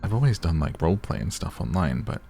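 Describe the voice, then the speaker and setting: A man giving relaxed, soothing gameplay commentary in an unhurried, chatty ASMR style. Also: extremely low pitch, gravelly, faint music behind. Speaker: gaming streamer.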